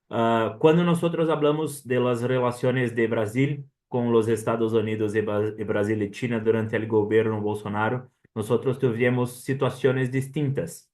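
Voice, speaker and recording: A Brazilian professor presents at an academic seminar in his second language. His accent mixes Portuguese with a little Colombian Spanish, and he often hesitates with a drawn "ah". His voice sits at an ordinary middle pitch for a man. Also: darkish tone. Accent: Brazilian Portuguese, with some Colombian Spanish.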